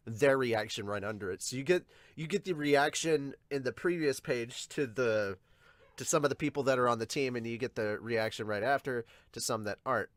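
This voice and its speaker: A man hosting a casual manga reaction, talking enthusiastically in a halting, digressive way. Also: medium pitch. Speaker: podcast host.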